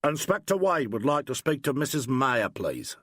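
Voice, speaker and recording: Man doing a stolid, deferential policeman, husky. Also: mid-range voice, very animated, moderate pace, fairly bright tone, dry recording, clean studio sound. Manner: formal, stolid, official. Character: dutiful, deferential male police officer.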